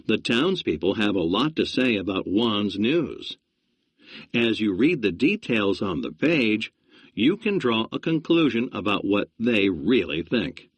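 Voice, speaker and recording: Slow, dry-voiced male lesson narrator. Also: on the low side, big pitch swings, slightly rough, no echo. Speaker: reading instructor.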